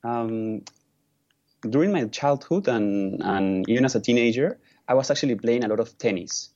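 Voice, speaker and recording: A man from Peru, an environmental lawyer and podcast guest, answering questions in a halting way with many restarts and fillers. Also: medium pitch, lively intonation, darkish tone, highs cut off. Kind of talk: conversational interview answers.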